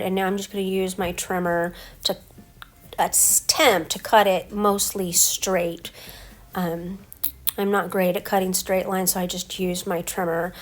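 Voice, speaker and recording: A middle-aged woman with a stuffy head cold, talking through her scrapbook layout step by step in a relaxed voice-over. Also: mid-range voice. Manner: relaxed, explains each step as she works. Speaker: scrapbooking crafter. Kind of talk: casual craft voice-over.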